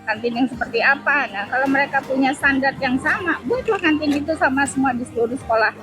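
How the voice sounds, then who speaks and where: A woman's voice: high voice, fast, over music. A woman, a school principal casually explaining her opinions with colloquial tags and rhetorical questions. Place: on a ride-hailing motorbike on the road. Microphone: helmet intercom microphone.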